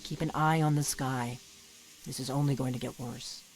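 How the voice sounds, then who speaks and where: A man's voice: medium pitch, steady tone, moderate pace, lightly acted, low-quality recording. A man voicing a grim, watchful fighter in a fantasy game. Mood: grim warning. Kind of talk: scripted fantasy game dialogue.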